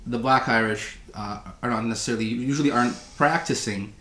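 A man's voice: medium pitch, fairly quick, sharp tone, echoey room. A man co-hosting a podcast, chatting casually and drawing out political points. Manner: musing, draws political points. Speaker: podcast co-host. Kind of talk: casual chat.

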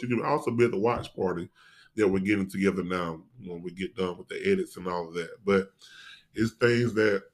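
An American man speaking casually and informally as an interview guest, repeating phrases for emphasis. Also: low-pitched. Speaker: podcast guest, stage play actor.

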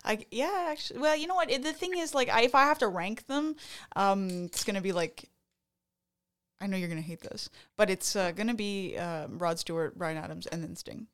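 A Canadian woman co-hosts a casual podcast. She talks in an easy, chatty way, full of fillers and restarts, at a middling pitch. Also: normal speed, a bit bright. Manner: chatty, hesitant with many fillers. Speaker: podcast co-host. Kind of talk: casual chat.

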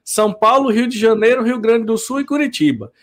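A man's voice: extremely high pitch, expressive, fairly quick. A man co-hosting a podcast in casual chat, joking about himself and laughing easily. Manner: self-deprecating, laughs easily.

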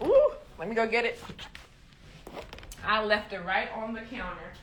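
A woman's voice: fairly high pitch, sing-song, unhurried, slight background noise. A woman from Liberia hosting a live podcast stream, chatting casually and upbeat with her viewers, with lots of ums. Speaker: podcast host and coach.

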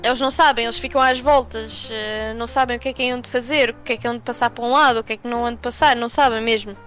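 Young female guest, European Portuguese accent, high register, informal and emphatic anecdote-telling, music underneath. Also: fairly even intonation, very fast, fairly bright tone. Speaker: interview guest. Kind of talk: casual conversational anecdotes.

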